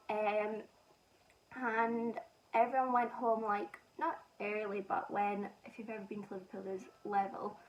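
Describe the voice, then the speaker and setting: A young woman from Scotland with a Scottish accent softened by a northern English lilt, chatting casually and self-mockingly while doing her makeup. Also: medium pitch, distant mic, rough recording. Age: young adult. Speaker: beauty vlogger.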